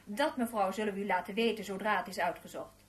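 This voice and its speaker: A woman's voice: mid-range voice, steady tone, quick pace, very noisy, close to an everyday voice. An actress playing a formal, officious female employment office clerk in a radio play. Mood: evasive. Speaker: radio drama actor. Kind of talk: Dutch radio play dialogue.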